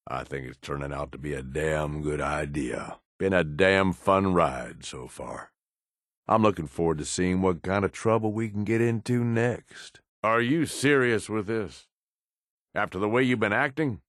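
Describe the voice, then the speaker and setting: A man playing a gruff, middle-aged American raider henchman in a video game, cynical but loyal, with folksy, profane, drawling speech. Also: low voice, a little slow, fairly bright tone, no room sound, clean studio sound. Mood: angry, scolding. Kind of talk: video game companion dialogue.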